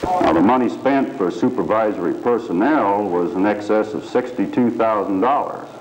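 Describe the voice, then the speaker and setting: A man giving a folksy, halting interview statement as an official, on a noisy old news videotape. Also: lowish voice, crowd noise.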